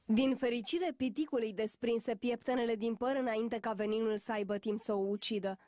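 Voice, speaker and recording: A woman's voice: a little high, steady tone, no room sound, lightly acted. A woman as storyteller, reading scripted narration for a children's fairy-tale cartoon. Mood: storytelling. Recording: dull lo-fi soundtrack, music behind.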